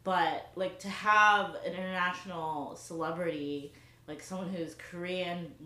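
A woman's voice: fairly low pitch, steady tone, unhurried, pushed and bright, echoey room. A young woman, an English teacher, chatting casually on a podcast with lots of "like" fillers.

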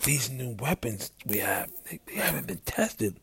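Man acting a anxious, high-pitched young royal attendant. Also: sing-song, slight background noise. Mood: nervous hushed. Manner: pleading, flustered, halting.

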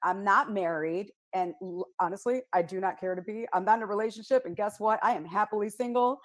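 A woman from the south side of Chicago, a radio personality speaking as a panel guest in an outspoken, blunt, animated storytelling manner. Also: mid-range voice, fairly quick. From United States, south side of Chicago. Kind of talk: candid panel discussion.

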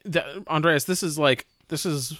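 A man's voice: fairly high pitch, unhurried, a bit bright, dry recording. Casual man at a game table, dry recording. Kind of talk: casual improvised tabletop role-playing chat.

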